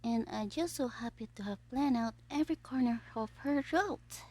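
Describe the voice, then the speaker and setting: A woman's voice: on the high side, normal speed, darkish tone, close to an everyday voice, poor audio. A woman playing a smug, theatrical young supervillain who schemes playfully in an audio roleplay diary. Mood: smug scheming. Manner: theatrical, playful, self-satisfied, drifting into conspiratorial whispers.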